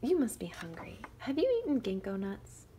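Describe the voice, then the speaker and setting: A woman voicing a clever, quick-witted eldest girl in a fairy tale, eager and lively. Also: a little high, slight background noise, voice pushed up. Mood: sweetly cunning.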